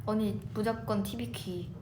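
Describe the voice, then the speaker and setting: A woman's voice: mid-range voice, fairly even intonation, a little slow, noisy background. A young woman co-hosting a radio-style show, chatting in an earnest, agreeable way. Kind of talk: casual radio chat. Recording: echoey studio with background music. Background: background music.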